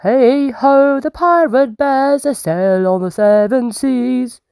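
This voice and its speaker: A woman's voice: high-pitched, slow pace, gravelly, mellow, clean tone, no room sound, voice pushed up, full character voice. A woman voicing playful, boisterous young bear cubs in a children's storybook, sing-song, emphatic and childlike. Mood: merry singing.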